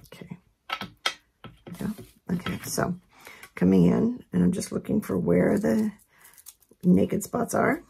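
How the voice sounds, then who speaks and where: A woman with a hoarse voice from a head cold, giving a relaxed, chatty craft tutorial at her work table. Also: medium pitch, measured pace, long pauses, slight background noise. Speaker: craft instructor. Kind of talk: casual step-by-step tutorial.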